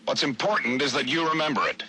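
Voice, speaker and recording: A man voicing a cold, controlled crime boss in a video game audio log, with a gravelly rasp and precise, formal, commanding speech. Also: mid-range voice, quick pace, noisy background, natural voice. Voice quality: gravelly, raspy.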